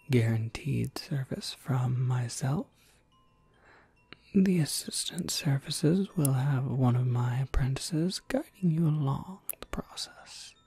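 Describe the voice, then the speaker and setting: A woman playing a courteous, businesslike witch shopkeeper in a soft, measured ASMR whisper. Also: low-pitched, husky, dry recording, full character voice. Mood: patient, explanatory. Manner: measured, polite, gently explanatory.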